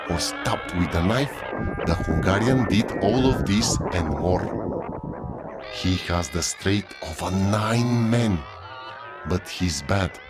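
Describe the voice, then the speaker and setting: An actor playing a gossipy tavern keeper who tells stories in a folksy, unhurried way in an audio drama. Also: male voice, low-pitched. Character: gossipy male innkeeper at a moon tavern. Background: music.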